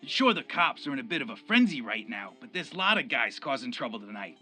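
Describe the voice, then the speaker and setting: Raspy, cocky gangster lieutenant. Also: male voice, mid-range voice, sing-song, a little fast, bright, forceful tone, soft background music, full character voice. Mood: flippant. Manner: slangy, flippant, streetwise. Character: cocky wisecracking gangster lieutenant, male, coolly defiant. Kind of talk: radio drama acting.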